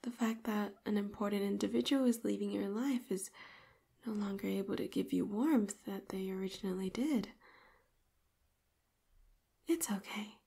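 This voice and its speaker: A woman voicing a devoted, possessive young female robot assistant in an ASMR roleplay, speaking in a soft half-whisper and calling the listener Master. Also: medium pitch, moderate pace, gravelly, pauses often, husky. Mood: tender. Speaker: voice actor.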